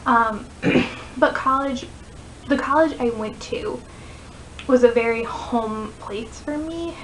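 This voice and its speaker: A woman's voice: a little high, takes it slowly, a little hiss or hum, low-quality recording. A woman in her twenties with an American accent, chatting casually as she gives book recommendations in a vlog. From northeastern United States. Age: 20s. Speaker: book vlogger.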